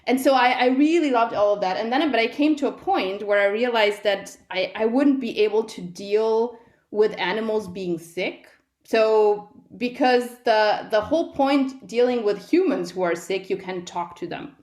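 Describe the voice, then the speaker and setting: A woman's voice: a little high, normal speed, darkish tone. Middle-aged woman guest, thoughtful and candid, recorded in a room with noticeable echo. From Italy. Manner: reflective, frequent restarts and quoted inner thoughts. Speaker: guest, medical professor. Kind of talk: conversational interview. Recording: echoey room.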